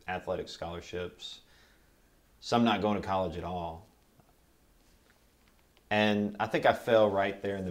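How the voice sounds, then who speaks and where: An American man giving a recorded oral history interview, recalling his life in a measured, reflective way. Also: sits low. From Kentucky, United States. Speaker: interviewee, military veteran.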